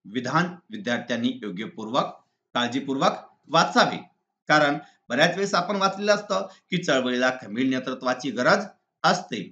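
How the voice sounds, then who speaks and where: A man teaching in a deliberate, didactic way, reading exam answers point by point. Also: medium pitch, lively intonation, sharp tone. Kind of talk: exam answer walkthrough, lesson read aloud. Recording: slightly dull lo-fi recording.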